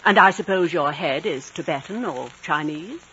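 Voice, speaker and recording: An actress playing a prim, blunt, opinionated spinster missionary in a 1950s radio drama. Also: female voice, sits low, animated, normal speed, fairly bright tone. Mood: prim, inquisitive. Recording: dull, noisy 1950s radio recording.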